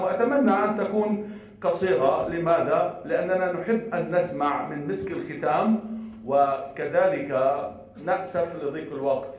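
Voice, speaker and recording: A man moderating a conference, reciting formal verse and joking with the audience from the podium. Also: high-pitched. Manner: jovial, jokes with audience. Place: large conference hall. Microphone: podium microphone from far off. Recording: echoey hall, distant mic.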